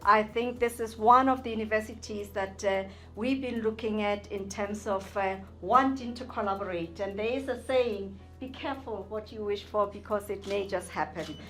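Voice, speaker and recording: A woman's voice: mid-range voice, normal speed, hardly any pauses, fairly bright tone, soft background music. A woman, a visiting university representative, giving an upbeat formal speech with many uhs.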